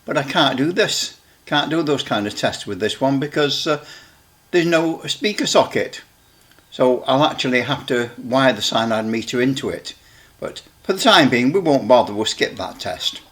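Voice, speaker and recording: Halting man casually demonstrating equipment. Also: medium pitch, animated, fairly bright tone. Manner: halting, rambling, repeats himself. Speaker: radio hobbyist presenter.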